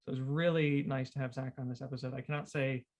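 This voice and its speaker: A man's voice: medium pitch, steady tone, quick pace, a little mellow. A man hosting a casual, chatty podcast, enthusiastic and inclined to repeat his phrases. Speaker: podcast host.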